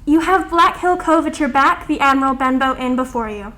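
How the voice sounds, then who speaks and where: A woman acts out a plucky young girl in a stage adventure. Her voice is very high and sharply bright, with an earnest, insistent and slightly anxious delivery. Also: a little fast. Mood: guarded. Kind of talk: theatrical storytelling and dialogue.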